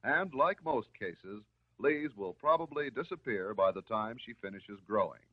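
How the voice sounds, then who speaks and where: An American man delivering scripted narration in the style of a 1950s educational film, measured, instructive and reassuring. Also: fairly low pitch, lively intonation. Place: voice-over recorded for film. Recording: muffled, narrow-band 1950s film soundtrack.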